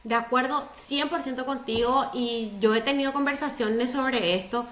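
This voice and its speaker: A woman's voice: high voice, normal speed, a bit bright, reverberant. A middle-aged woman, speaking as a guest in a conversational interview, earnest and emphatic, repeating words for stress. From Paraguay. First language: Spanish.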